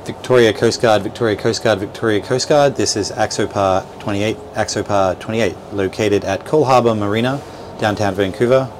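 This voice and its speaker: Low-voiced man explaining over noise. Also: fairly quick. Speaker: boat dealer presenter. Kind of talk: informal instructional walkthrough. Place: small boat cabin at a marina. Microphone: clip-on lapel mic. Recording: noisy boat cabin with steady background hum.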